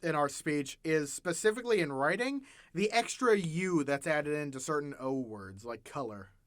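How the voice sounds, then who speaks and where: A man's voice: a little high, lively intonation, normal speed, fairly bright tone, no room sound, studio quality. A young man hosting a casual chat, talking with many restarts and laughing often. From United States. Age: young adult. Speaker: podcast host.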